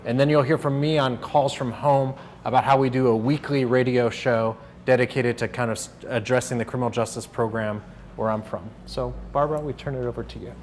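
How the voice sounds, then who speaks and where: A man hosting a workshop, explaining to a group in a halting, conversational way as he moderates. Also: medium pitch, steady tone. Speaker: workshop host and panel moderator. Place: room at a workshop. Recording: noisy room recording.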